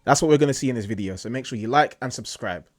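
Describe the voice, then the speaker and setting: A man's voice: mid-range voice, rapid-fire, a little mellow, no room sound, studio quality. A man giving pointed, wry voice-over commentary on a video as its host.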